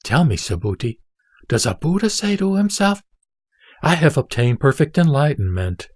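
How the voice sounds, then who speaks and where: A man playing the Buddha as a calm teacher, speaking in a measured, deliberate, instructive way in a scripture audio drama. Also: fairly high pitch, slightly rough, dry recording, clean studio sound. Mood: questioning. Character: male Buddha, a calm teacher questioning his disciple.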